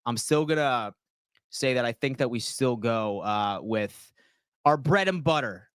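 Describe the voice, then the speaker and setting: A man, hosting a casual sports livestream and answering viewers' comments in slangy, animated talk. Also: medium pitch, unhurried, clean tone, no room sound, studio quality. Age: 30s. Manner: slangy, animated, reads chat aloud and answers.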